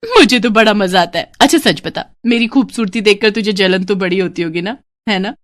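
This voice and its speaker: A woman's voice: mid-range voice, animated, brisk, no room sound, clean studio sound, lightly acted. A woman voicing a cruel, vain young stepsister in a storybook tale, sneering and taunting. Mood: mocking.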